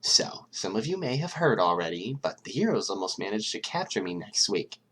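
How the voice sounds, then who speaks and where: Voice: low-pitched, sing-song, normal speed, a bit bright, slightly rough, full character voice. A reader voicing a young male villain strategist who taunts his audience with mock cheer and cold menace. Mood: mock cheerful. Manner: mock-cheerful, taunting, turning deadly serious.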